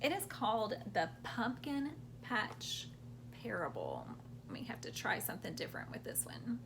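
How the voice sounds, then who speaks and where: A woman's voice: mid-range voice, wildly swooping pitch, moderate pace, background noise. A woman hosting a children's storytime, reading picture books aloud expressively and explaining things simply for young listeners.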